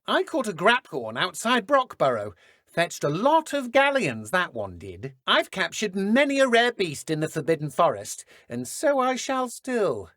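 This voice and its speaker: Sneering British dark witch, wildly swooping delivery. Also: mid-range voice, no echo, studio quality. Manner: taunting, theatrical, condescending. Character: cruel, sneering dark witch poacher, smug and mocking. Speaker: video game voice actor. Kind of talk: villain combat and idle barks for a fantasy game.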